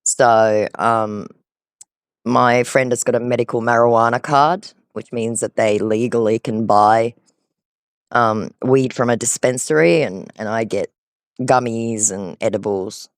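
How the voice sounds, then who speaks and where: Creaky-voiced man, chatting casually. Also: mid-range voice, fairly even intonation, a little slow, dry recording, studio quality. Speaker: scambaiter on a call with a scammer. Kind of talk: casual phone chat.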